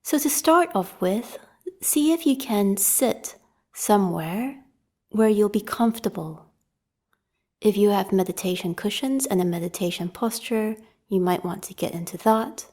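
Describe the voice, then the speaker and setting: A woman guides a meditation in a very slow, relaxed voice. She is calm and gentle, leaving long pauses between instructions. Her soft tone thins to a slight breathiness as phrases end, with a mellow, warm colour throughout. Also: mid-range voice. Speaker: meditation teacher leading a guided practice.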